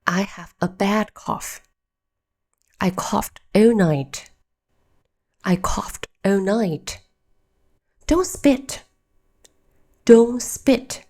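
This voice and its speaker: A woman's voice: medium pitch, drawn-out words, long pauses, a little mellow. A woman hosting a short English lesson, spelling words out letter by letter and repeating each phrase for practice. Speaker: English teacher, channel host. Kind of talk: slow scripted language lesson.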